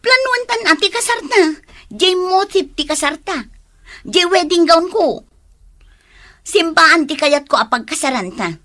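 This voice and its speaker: A wounded, nagging fiancée in an Ilocano radio drama. The voice is very high and sharp, with an Ilocano Filipino accent and a confrontational manner. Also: female voice, expressive, a little slow, long pauses, heavily acted. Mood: hurt, pleading.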